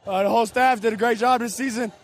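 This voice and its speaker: A young man, a college quarterback, giving an excited, rambling postgame interview full of fillers on the field. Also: very high-pitched, fast talker. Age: young adult. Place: football field, outdoors.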